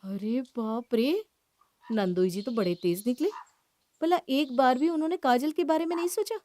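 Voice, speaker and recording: A woman's voice: high voice, normal speed, mellow, lightly acted. A woman voicing a sensible, slightly gossipy young sister-in-law in a cartoon moral story, confiding and reasoning. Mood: shocked, curious.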